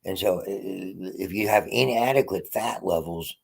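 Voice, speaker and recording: A man giving a physiology lecture from home, congested and often clearing his throat, with halting, restarting phrasing. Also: sits low. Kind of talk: unscripted lecture.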